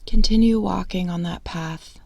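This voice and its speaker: A woman leading a guided meditation in a hushed, breathy, unhurried delivery, leaving long pauses between soothing phrases. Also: fairly low pitch, dry recording.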